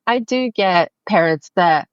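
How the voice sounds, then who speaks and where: A woman's voice: lowish voice, expressive, measured pace, clear, resonant tone, no echo, dull audio. A woman from Brazil with a Brazilian accent, chatting in an interview about her photography work, friendly and full of fillers and restarts. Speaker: family photographer, guest. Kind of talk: casual interview chat.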